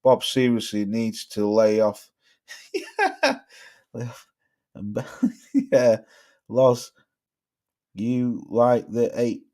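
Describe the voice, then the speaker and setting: Young Cheshire lad, Stoke-tinged accent, blunt and sweary livestream host chatting with viewers. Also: male voice, mid-range voice, darkish tone. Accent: Cheshire English mixed with Stoke. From Cheshire, England. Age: young adult. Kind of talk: casual live chat reading viewer comments.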